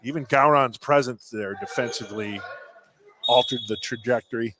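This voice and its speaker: A man's voice: mid-range voice, normal speed. An American man giving informal, conversational color commentary on a live sports broadcast. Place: courtside in a basketball gym. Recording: some noise, gym ambience.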